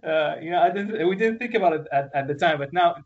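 A man from Iraq, a guest on a remote interview, telling a story in a halting, laughing way with many restarts. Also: on the high side, phone-quality sound.

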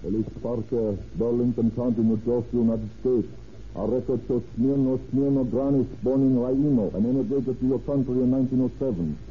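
An actor playing a formal foreign official reading out cabled replies in a clipped way in an old radio drama. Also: male voice, mid-range voice, steady tone, brisk, natural voice. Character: foreign port captain and police chief replying by cable. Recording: tinny, noisy old radio broadcast.

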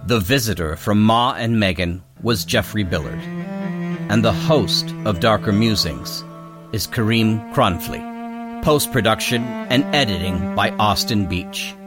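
Guy reading radio drama credits, lowish voice, music behind him. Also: measured pace. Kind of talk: scripted credits announcement.

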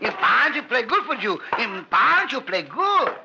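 A man's voice: very high voice, big pitch swings, a little slow, vocal fry, heavy background noise, heavily acted. A man playing an eager, simple Mexican cowboy sidekick who speaks broken English with a Mexican accent in an old radio western. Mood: pleading, gleeful. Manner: broken English, refers to himself by name, repeats phrases. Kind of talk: 1940s-50s radio western acting. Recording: muffled vintage radio recording with music.

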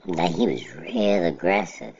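A middle-aged man speaks in an extremely deep, gravelly voice. He talks slowly and evenly, keeping a level monotone as he reflects plainly on his life. The recording sounds a little dull and lo-fi. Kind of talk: casual personal monologue.

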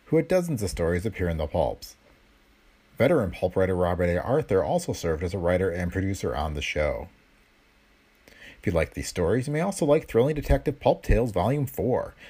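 A man introducing an old radio show on a podcast in a deliberate announcing tone. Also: deep voice, darkish tone, husky. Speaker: podcast host. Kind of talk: scripted introduction.

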